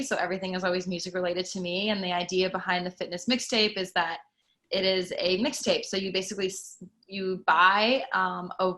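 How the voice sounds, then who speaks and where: Woman, 30s, from Costa Rica, fitness instructor, reflective chat, bright tone. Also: mid-range voice. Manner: earnest, reflective, frequent fillers. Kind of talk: casual panel chat.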